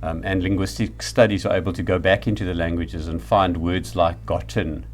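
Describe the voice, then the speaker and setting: A middle-aged or older man with a South African accent, giving a reflective lecture in a deliberate, emphatic manner. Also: low voice, flat delivery, faint music behind.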